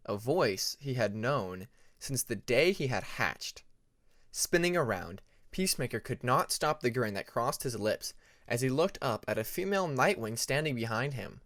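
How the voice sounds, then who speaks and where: A man's voice: medium pitch, a bit bright, no echo, studio quality. A man reading a scripted story as an audiobook narrator.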